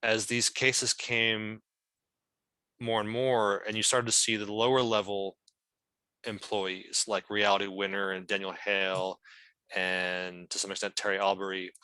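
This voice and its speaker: A man's voice: lowish voice, little pitch movement, a little slow, pushed and bright, smooth, no echo. A man explaining things as a guest at an online meeting, thoughtful and often searching for words. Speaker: member of a whistleblower's legal team, guest speaker.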